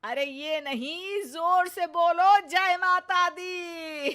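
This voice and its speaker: A woman voicing a bossy, greedy, stubborn elderly mother-in-law in a storybook cartoon, loud, scolding and dramatic. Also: extremely high pitch, drawn-out words, pitched above the performer's own voice, heavily acted. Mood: loud chanting.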